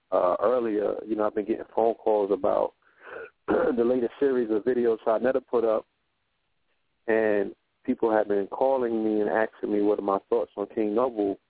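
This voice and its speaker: A man with a low, flat voice talks in a reflective, unhurried way on a radio roundtable. He often checks in with his listeners as he goes. His voice is thin and dry, as if it comes down a phone line. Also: vocal fry, no room sound. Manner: reflective, repeats "you know what I'm saying". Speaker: radio show guest. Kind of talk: casual roundtable talk.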